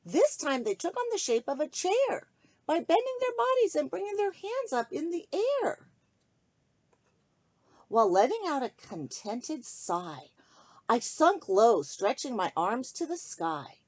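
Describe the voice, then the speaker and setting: A woman reading a rhyming children's story aloud in an expressive, sing-song way, speaking directly to young listeners. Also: high-pitched, unhurried.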